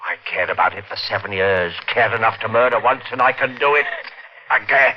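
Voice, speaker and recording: An actor playing a greedy murderer who narrates his own crime in a 1950s radio drama, smooth and menacing. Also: on the low side, fairly even intonation, brisk, natural voice. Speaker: narrator and lead. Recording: dull old radio recording with faint hiss.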